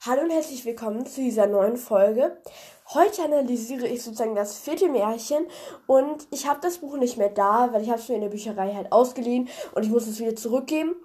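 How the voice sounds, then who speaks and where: Young female podcast host, congested with a cold, chatty and meandering, slightly high voice. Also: a little fast, darkish tone. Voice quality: congested, nasal voice. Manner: chatty, rambling, many fillers. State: stuffy cold. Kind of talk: casual retelling of a fairy tale.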